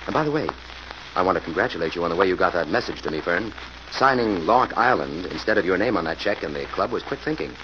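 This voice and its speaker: An actor playing an assured, genial detective hero in a 1940s radio drama, explaining things in a measured way. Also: male voice, deep voice, lively intonation. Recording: muffled, noisy old radio recording.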